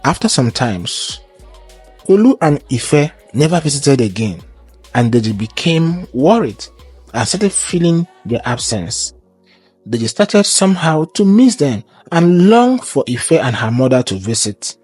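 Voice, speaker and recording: A man narrating a scripted folktale in a measured, formal storytelling way. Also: a little high, big pitch swings, a little mellow, breathy edge, no echo, faint music behind.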